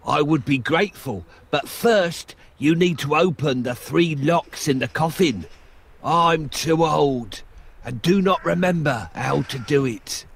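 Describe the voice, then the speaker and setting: A man playing a humble, forgetful old dwarf in a fairy tale, gravelly, husky and deferential. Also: a little high, unhurried, a little hiss or hum. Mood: humble, weary. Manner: deferential, hesitant. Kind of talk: fairy tale cartoon acting.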